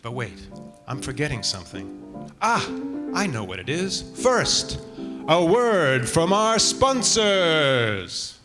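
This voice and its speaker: A man playing a showmanlike 1940s radio announcer, theatrical and deliberate, delivering scripted host narration. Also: on the high side, sing-song, music in the background. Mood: genial showmanship. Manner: theatrical, deliberate, repeats words for effect. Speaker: host of a staged radio show.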